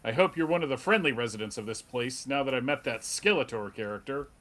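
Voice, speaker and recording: A man's voice: medium pitch, fast talker, a bit bright, lightly acted. A man playing a friendly, mildly wry superhero in plain everyday speech at a comic book table read. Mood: friendly, puzzled. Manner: plain modern conversational delivery, mildly wry. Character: polite, wry, square-jawed alien superhero in a comic.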